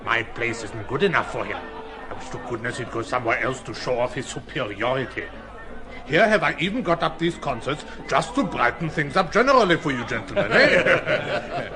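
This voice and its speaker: A man's voice: medium pitch, natural voice. An actor playing a resentful, gossiping hotel proprietor in an audio drama, scornful while ingratiating himself with his patrons.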